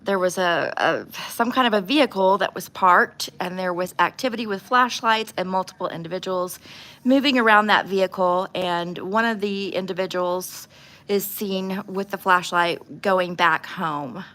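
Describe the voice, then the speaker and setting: A woman's voice: mid-range voice, moderate pace, fairly bright tone. A woman hosting a casual livestream, talking informally and bluntly as she gives her opinions. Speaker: livestream host doing nail art. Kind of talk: casual livestream chat with true crime commentary.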